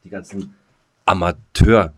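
Male podcast co-host, lowish voice, halting casual delivery, feeling his way through sentences. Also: steady tone.

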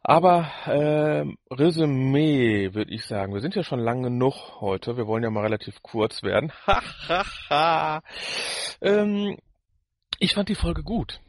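A man co-hosting a podcast in casual review chat, halting as he searches for words. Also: on the high side, lively intonation, dry recording, studio quality. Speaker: podcast co-host.

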